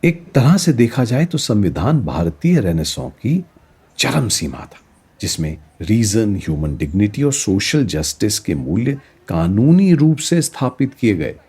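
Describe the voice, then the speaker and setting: An actor playing a learned teacher who explains history in a didactic, rhetorical way, mixing in English words, in an educational radio play. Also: male voice, lowish voice, sing-song, a little slow, mellow, slightly rough, exaggerated voice. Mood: earnest.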